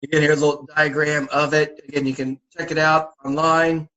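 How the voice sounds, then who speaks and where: A man with a sore throat, sniffling a little, briefing volunteer youth basketball coaches over a video call in a relaxed, repetitive way with many fillers. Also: a little high, fairly quick, highs cut off. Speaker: youth basketball league organizer.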